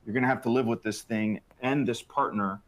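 A man's voice: medium pitch, steady tone, fairly quick. A man in his thirties on a panel in a factory studio, explaining with frequent restarts and repeated words, on a lapel mic. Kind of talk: panel discussion. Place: bright open factory studio set.

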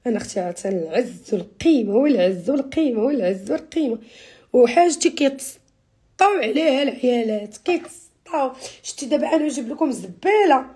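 A woman's voice: a little high, brisk, breathy edge. A woman in her thirties with a Moroccan Arabic accent, chatting animatedly to her followers on a phone live stream in a small room. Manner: animated, emphatic, gestures a lot while talking. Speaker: social media personality. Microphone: phone at arm's length.

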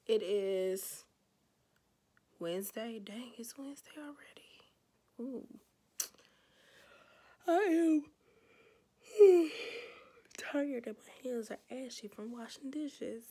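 A young American woman with a stuffy, hoarse cold voice, chatting casually and rambling in a tired way, recorded on a phone held close in her bedroom. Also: fairly high pitch, animated, slow pace, long pauses, warm tone. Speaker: beauty vlogger. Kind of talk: casual vlog chat. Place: bedroom, indoors.

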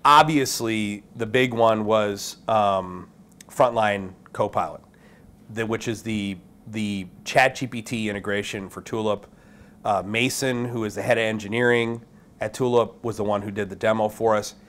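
A man's voice: fairly low pitch, steady tone, normal speed, a bit bright. A man in his forties giving an off-the-cuff, opinionated recap to camera as a video host, blunt and emphatic, often checking in with the listener. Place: small indoor room with curtains.

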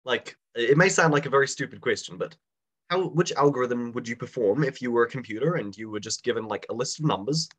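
A man's voice: mid-range voice, quick pace, a bit bright, slightly rough. Young man teaching over a video call. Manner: casual, chatty, asks the class questions. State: slight cold, a bit raspy. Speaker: online class instructor. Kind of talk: live online lecture.

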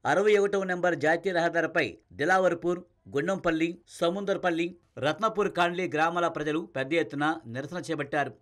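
Brisk, high-pitched male news narrator. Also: a bit bright. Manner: brisk, dramatic news delivery. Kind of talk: scripted news voice-over.

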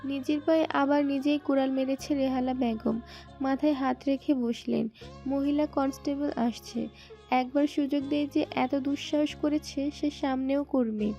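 A woman's voice: sits high, fairly even intonation, normal speed, mellow. A woman reading scripted dramatic narration for an audio story. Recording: muffled band-limited sound, music bed.

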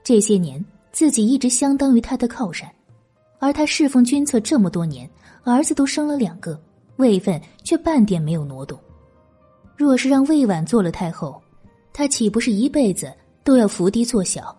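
Female audiobook narrator, highish and expressive, husky edge, dramatic period fiction. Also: normal speed, faint music behind. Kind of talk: scripted dramatic novel narration.

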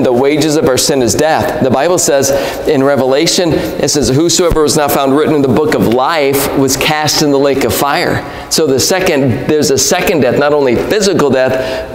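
A man in his forties preaches from a church pulpit into a mic, pressing hard on key words. His voice sits fairly high for a man. The sanctuary adds a roomy, long-tailed reverb. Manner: emphatic, rising on key words, gestures broadly. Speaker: evangelist preacher. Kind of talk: sermon with storytelling. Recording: echoey hall reverb.

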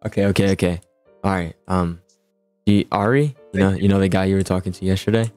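Rambling young man with a low voice. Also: flat delivery, brisk, darkish tone, clean tone. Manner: rambling, repeats phrases, stammering starts. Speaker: host of a gaming roleplay. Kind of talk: casual improvised game roleplay chat. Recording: music bed under the voice. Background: background music.

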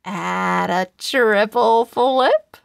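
A woman's voice: mid-range voice, very slow, vocal fry, no echo, clean studio sound, heavily acted. Woman voicing a haughty, sassy storybook cat. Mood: showy, haughty. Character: sassy, proud female cat show-off in a children's storybook.

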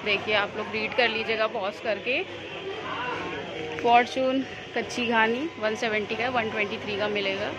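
Woman vlogger with a North Indian accent, slightly high voice, chatty price-by-price store tour, phone camera in a loud crowded shop. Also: steady tone, moderate pace, lo-fi sound, over a noisy crowd. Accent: Hindi-speaking North Indian. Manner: chatty, points out prices and offers. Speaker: shopping vlogger. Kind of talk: walking store tour vlog. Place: busy supermarket indoors. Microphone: handheld phone camera. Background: shoppers chatting in a crowded store.

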